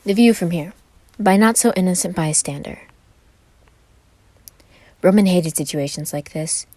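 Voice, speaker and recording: A woman's voice: on the low side, measured pace, warm tone, no room sound. A woman who hosts and reads fan fiction, moving between casual chat and story narration. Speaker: podfic reader and channel host.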